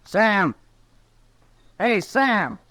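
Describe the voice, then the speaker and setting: Cocky drunk young cowhand. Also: male voice, high-pitched, sing-song, drawn-out words, bright, forceful tone, dry recording, heavily acted. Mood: belligerent. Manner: brash, folksy cowboy speech. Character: cocky nineteen-year-old cowhand, drunk, hot-headed and boastful. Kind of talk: 1950s Western radio drama acting.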